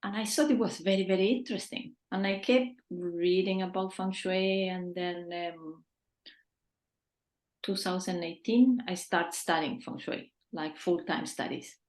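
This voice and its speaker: Chilean-born woman in her fifties, lowish voice, earnest interview guest on a call, roomy echo. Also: unhurried. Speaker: feng shui consultant, guest. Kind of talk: casual interview answers.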